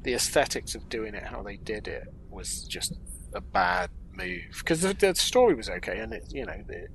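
Man, creaky voice, podcast co-host, casual reactions and opinions, noisy background. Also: fairly high pitch, lively intonation, moderate pace, a bit bright.

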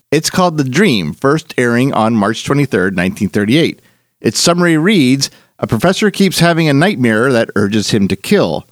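A man hosting with scripted narration, measured and given to dramatic emphasis. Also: medium pitch, no echo, clean studio sound. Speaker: host. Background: music.

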